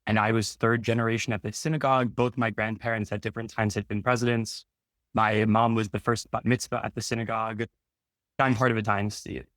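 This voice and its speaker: A man's voice: on the low side, level tone, fairly quick, a bit bright, no echo. A man who talks as a podcast guest in a blunt, wry, matter-of-fact way. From Brooklyn, New York. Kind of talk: casual frank conversation.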